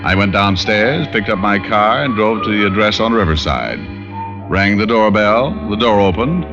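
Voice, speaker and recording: A self-assured male private eye from an old radio drama, with a low voice that swings freely as he tosses off flippant lines. The recording is muffled and vintage, with music behind it. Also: normal speed. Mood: wry narrating. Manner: wry, flippant, deadpan quips. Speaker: lead actor and narrator. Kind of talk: old-time radio detective drama acting.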